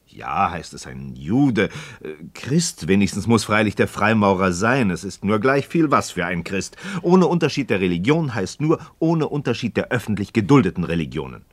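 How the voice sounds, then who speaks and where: An actor playing a disillusioned, impassioned Freemason novice in a philosophical radio play, speaking in an aggrieved, rhetorical, declamatory way. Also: male voice, fairly low pitch, very animated, normal speed, dry recording. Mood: bitter, indignant. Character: disillusioned, impassioned male Freemason novice questioning his friend. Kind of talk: mid-century German radio play acting of philosophical dialogue.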